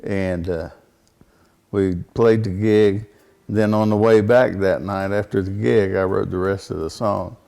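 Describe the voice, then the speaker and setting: Low-voiced man, plainspoken. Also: fairly even intonation, a little slow, creaky, warm tone. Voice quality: baritone voice. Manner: plainspoken, rambling, frequent fillers and restarts. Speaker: songwriter guest. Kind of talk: casual conversational interview.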